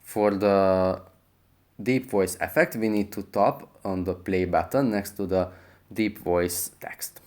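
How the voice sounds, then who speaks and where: Low-voiced man narrating a tutorial. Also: takes it slowly, darkish tone. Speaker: tutorial host.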